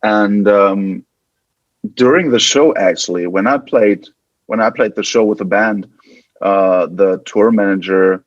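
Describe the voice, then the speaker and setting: Low-voiced German man, dull dry recording. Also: a little slow, dry recording. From West Germany. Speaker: podcast guest, band guitarist. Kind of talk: casual interview conversation.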